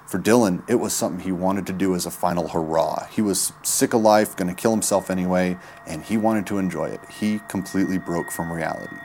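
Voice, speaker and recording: A man's voice: low voice, brisk, few pauses, a little mellow, slight background noise. An American man giving an informal, blunt eyewitness recollection in colloquial speech. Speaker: eyewitness and former student giving an account.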